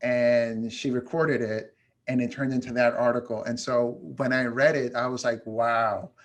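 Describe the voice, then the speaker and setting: A man from New York whose first language is Spanish, talking casually and reflectively as an interview guest, with frequent pauses. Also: medium pitch, flat delivery. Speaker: guest, education consultant.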